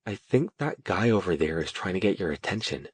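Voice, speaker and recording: A man's voice: low-pitched, sing-song, a little fast, slightly rough, dry recording, heavily acted. A man puts on a young female apprentice mage from a fantasy audiobook. She is easygoing and enthusiastic, with informal talk and a dry recording.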